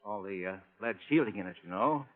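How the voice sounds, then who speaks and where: A man's voice: on the low side, expressive, brisk, lightly acted. A man playing an evasive doctor in a vintage radio drama, hesitant and stammering. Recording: muffled old radio with long reverb.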